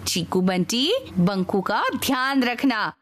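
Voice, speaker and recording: A woman playing a kind mother gently instructing children in an animated children's comedy. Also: medium pitch, slow, slight background noise, close to an everyday voice. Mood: kindly. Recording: studio voice with cartoon music behind.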